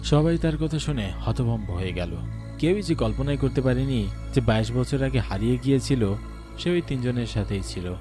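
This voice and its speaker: Low-pitched man narrating a horror story. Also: animated, moderate pace. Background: music.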